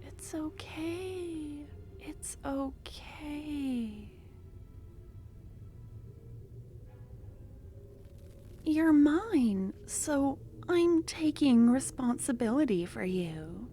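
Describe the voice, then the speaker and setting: A woman performs an obsessive female cultist who dotes on her captive, her voice sitting a little high but mellow and dark in tone. She speaks very slowly, soft and close, often sinking into hushed whispers, sweet and threatening at once. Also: expressive, slight background noise, full character voice. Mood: soothing whisper. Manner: soft-spoken, drifting into whispers; tender yet menacing. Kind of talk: ASMR roleplay.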